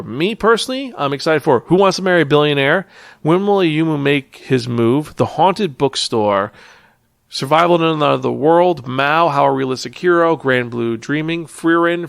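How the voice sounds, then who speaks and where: A man with a fairly high, noticeably creaky voice hosts a casual review show. He is enthusiastic and emphatic, often repeating words for stress as he talks straight to his listeners. The sound is dry, with no room echo. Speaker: podcast host and manga reviewer.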